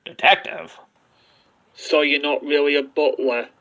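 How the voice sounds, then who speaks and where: Man acting a bright, highish mystery guest. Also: steady tone, measured pace, no echo, dull audio. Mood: surprised. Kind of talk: fan audio drama acting.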